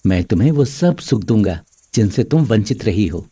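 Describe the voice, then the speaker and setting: A man's voice: lowish voice, sing-song, moderate pace, dark tone, slightly rough, dry recording, clean studio sound, exaggerated voice. A man voicing an earnest, protective young husband in a moral-story cartoon. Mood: reassuring.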